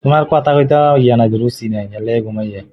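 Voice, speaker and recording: A man's voice: mid-range voice, fast talker, no echo. A man chatting casually and intimately on a call, in colloquial, teasing banter. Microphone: phone video call. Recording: dull, lo-fi call recording.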